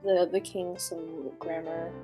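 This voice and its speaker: A woman's voice: lowish voice, flat delivery, takes it slowly, dark tone, faint music behind. A woman reading a fairy tale aloud on a podcast in an informal, giggly way, breaking off for casual asides.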